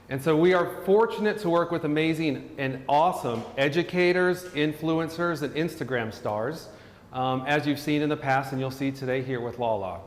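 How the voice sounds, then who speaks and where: A middle-aged man with a fairly high voice hosts a live-streamed hair class with upbeat, promotional energy. He is recorded in a roomy studio whose sound rings out with a long reverb. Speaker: host.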